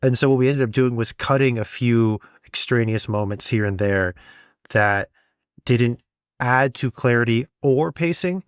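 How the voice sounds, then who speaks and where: A man's voice: mid-range voice, a little slow, smooth, dry recording, studio quality. A man co-hosting a podcast as a sound designer, explaining things step by step in a casual conversational style. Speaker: audio drama sound designer, podcast co-host.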